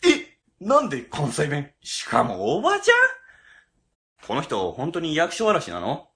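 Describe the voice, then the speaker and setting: Man as flustered, animated office section chief. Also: a little high, a little slow, exaggerated voice. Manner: flustered, pompous, exclamatory. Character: timid, blustering male section chief at city office. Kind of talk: comedic web radio drama acting.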